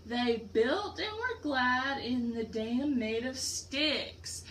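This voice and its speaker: A woman's voice: high voice, slow pace, fairly bright tone, slight background noise. A woman teacher doing an interactive picture-book read-aloud for a class, explaining simply and putting questions to pupils.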